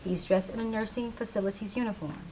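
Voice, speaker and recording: A woman's voice: mid-range voice, quick pace, warm tone, slight background noise, low-quality recording. A woman reading scripted stage directions as the narrator of a play reading.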